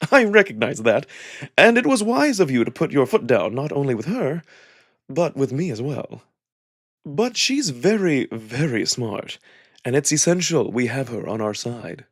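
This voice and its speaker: A man voicing a measured, diplomatic jackal nobleman from a visual novel, wary and deliberate in his formal phrasing. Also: medium pitch, very animated. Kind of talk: visual novel character reading.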